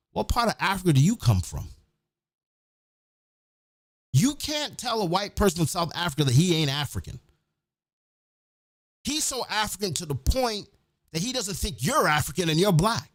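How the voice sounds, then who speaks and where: A man's voice: high voice, very animated, moderate pace, long pauses, dry recording, studio quality. A man hosting his own show, giving a blunt, profane, emphatic opinionated monologue in a casual ranting style.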